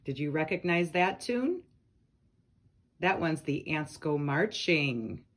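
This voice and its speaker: A woman's voice: low voice, animated, takes it slowly. A woman reading children's nursery rhymes aloud from a picture book, speaking to young listeners. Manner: addresses young listeners with questions and short comments.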